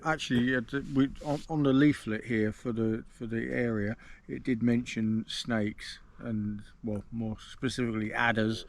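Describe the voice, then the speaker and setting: A man's voice: mid-range voice, fairly even intonation, normal speed, few pauses. An English man hosting a casual camping vlog outdoors in the woods, reviewing a drink and explaining at length with many restarts. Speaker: vlogger host.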